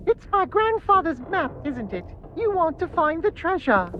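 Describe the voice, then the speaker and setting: A woman's voice: very high voice, big pitch swings, moderate pace, lo-fi sound, a little hiss or hum. A woman voicing a doting, sweetly coaxing pirate bride in a video game cutscene. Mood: adoring.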